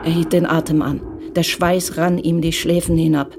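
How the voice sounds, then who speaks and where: A narrator telling a crime radio play in even, measured, scripted narration. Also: medium pitch, gravelly, background noise.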